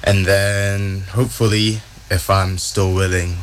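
A man's voice: low-pitched, little pitch movement, unhurried, a little hiss or hum. A twenty-year-old man, a university student, answering interview questions in a friendly, easygoing way with lots of ums and yeahs.

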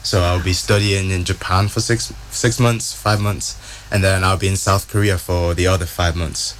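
A man's voice: low voice, steady tone, moderate pace, a bit bright, slight background noise. A twenty-year-old man, a university student, answering interview questions in a friendly, easygoing way with lots of ums and yeahs.

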